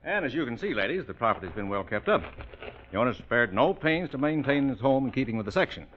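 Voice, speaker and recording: A man's voice: mid-range voice, big pitch swings, quick pace, lightly acted. An actor playing a genial real-estate agent with salesman's patter, in 1940s radio style. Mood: salesmanlike. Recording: muffled vintage radio recording.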